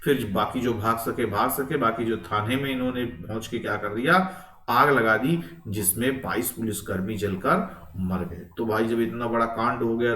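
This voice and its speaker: A man's voice: fairly low pitch, fairly bright tone. A man teaching an informal online exam lecture, explaining step by step, repeating phrases and often checking that students follow. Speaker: history teacher for competitive exams. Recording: echoey room, distant microphone.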